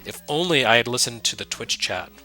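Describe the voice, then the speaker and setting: A soft-spoken man giving loose, self-deprecating commentary while streaming a retro game, full of fillers and restarts. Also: medium pitch, expressive, fairly quick. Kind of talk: casual live-stream commentary. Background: game music under the voice.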